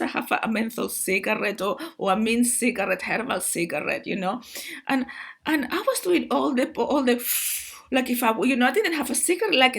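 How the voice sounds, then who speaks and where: A woman with a Spanish accent talks to her viewers in an excitable, rambling monologue, calling them guys and often restarting her words. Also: on the high side, gravelly, breathy edge. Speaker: spiritual video host.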